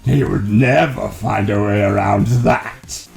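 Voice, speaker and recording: A man voicing a bombastic, gloating mad-scientist villain with a gravelly, theatrical delivery. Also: fairly low pitch, fairly even intonation, slow pace, full character voice. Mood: boastful, scornful. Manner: theatrical, gloating, swinging from growls to shouts. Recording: echoing voice under loud music.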